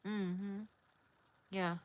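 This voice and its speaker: A woman from Oregon chats on her livestream, reading out viewer comments and answering them one by one. Her voice sits at an ordinary middle pitch, and she keeps things relaxed and easygoing. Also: drawn-out words. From Oregon, USA. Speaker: livestream host. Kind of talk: casual live chat reading comments.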